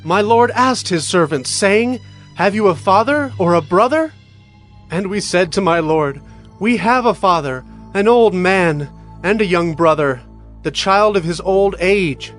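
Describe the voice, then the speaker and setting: Judah, earnest pleading brother, a man voicing him, high-pitched, soft music behind. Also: expressive, measured pace. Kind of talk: dramatized scripture reading.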